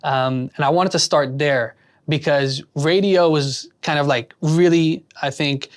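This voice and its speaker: A man's voice: on the high side, steady tone, measured pace. A man hosting an interview, asking rambling, earnest questions full of restarts. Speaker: interview host. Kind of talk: casual interview.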